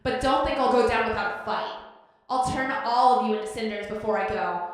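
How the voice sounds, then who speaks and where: A woman's voice: a little high, a little fast, lightly acted. A woman voicing a defiant, hot-tempered young captive fighter in an anime fan dub, brash and scornful, in a very echoey space. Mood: angry scornful. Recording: very echoey, reverberant.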